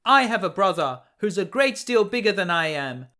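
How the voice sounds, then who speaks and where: A man's voice: high-pitched, big pitch swings, normal speed, bright, forceful tone, pitched above the performer's own voice, full character voice. A man voicing a middle-sized, nervous billy goat in a storybook, pleading in a polite, anxious way.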